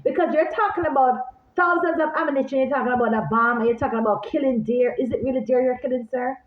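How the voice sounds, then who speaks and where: Jamaican woman, vlogger, high voice, echoey room, phone-like sound. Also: quick pace. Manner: animated, repeats herself, talks straight to viewers. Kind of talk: casual rambling monologue to viewers.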